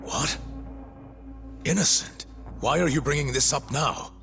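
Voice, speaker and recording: An actor playing a self-important, volatile medical director in a video game cutscene, with an emphatic, theatrical delivery. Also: male voice, a little high, measured pace, creaky, a bit bright, faint music behind. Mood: alarmed. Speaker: research center director.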